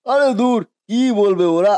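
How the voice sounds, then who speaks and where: A man voicing an eager young food-stall regular, loud and familiar, in a cartoon story. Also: high voice, measured pace, dry recording. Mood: eager, hungry. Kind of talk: Bengali cartoon story voice acting.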